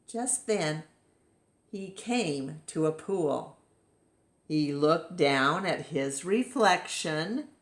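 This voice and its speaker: A woman's voice: low voice, animated, drawn-out words, echoey room. A woman narrating a children's picture book expressively as a storytime reader.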